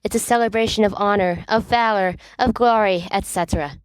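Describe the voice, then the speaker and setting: A woman's voice: mid-range voice, a little fast, clear, resonant tone, dry recording, lightly acted, rough recording. A woman voicing a theatrical, pompous teenage boy prankster in a storybook reading, declaiming melodramatically. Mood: grandiose.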